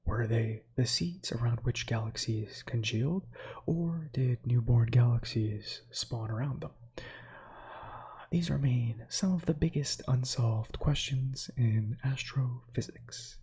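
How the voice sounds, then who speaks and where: A man in his thirties whose first language is Slovak, whispering softly as an ASMR streamer who reads space facts with chatty, meandering asides to viewers. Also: mid-range voice, takes it slowly. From United States. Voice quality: breathy whisper.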